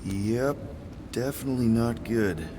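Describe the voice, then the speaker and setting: A man playing an easygoing, caring leader of space misfits in a video game, casual and gently joking. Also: mid-range voice, measured pace, noisy background, lightly acted. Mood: concerned. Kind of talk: natural video game acting. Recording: music behind the voice.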